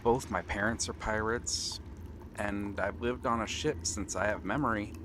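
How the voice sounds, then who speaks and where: A man's voice: on the low side, fairly even intonation, normal speed, background noise, close to an everyday voice. A man playing a nervous, bookish young pirate prince in an ASMR roleplay, hesitant and earnest. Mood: wistful, earnest.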